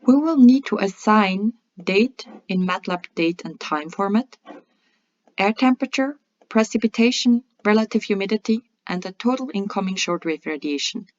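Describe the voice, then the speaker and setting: A woman presenting a scripted software tutorial in a methodical, step-by-step instructional way. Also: mid-range voice, lively intonation, moderate pace. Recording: dull lo-fi voice-over.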